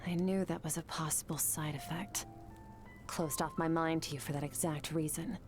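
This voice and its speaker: A woman's voice: sits low, moderate pace, over music, natural voice. Skirk, a woman voicing a cool terse swordmaster. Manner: calm, terse, detached. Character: cool, composed female swordmaster from another world.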